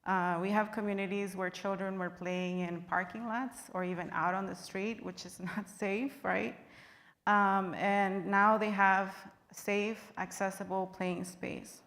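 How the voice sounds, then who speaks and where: A woman from Guatemala speaking on a panel, earnest and measured with occasional fillers. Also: mid-range voice, flat delivery, fairly bright tone. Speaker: panelist, business partner. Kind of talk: panel remarks. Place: large convention hall. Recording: reverberant hall sound.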